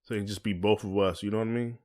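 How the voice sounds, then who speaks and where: A man's voice: fairly low pitch, fairly even intonation, very fast, darkish tone. A man co-hosting a podcast in casual chat, asking questions in informal, hesitant phrasing. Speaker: podcast co-host.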